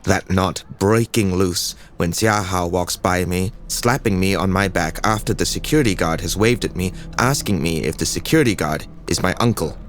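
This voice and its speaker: A man's voice: sits low, normal speed, few pauses, a little hiss or hum, lightly acted. A young man performing a scripted dramatic monologue as a troubled, introspective student telling his own life. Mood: brooding.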